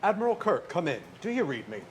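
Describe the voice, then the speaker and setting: Logical, deadpan first officer, acted by a man, slightly high voice with wide inflection, stage parody. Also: fast talker. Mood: formal, grave. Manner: formal, deadpan. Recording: live stage recording with clear noise.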